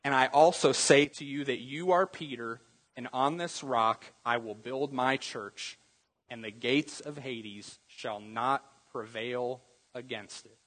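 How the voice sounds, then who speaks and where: A man's voice: mid-range voice, slow pace, fairly bright tone, in a large hall, low-quality recording. A man preaching a sermon in a church in a conversational teaching manner, quizzing the congregation with questions. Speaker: pastor.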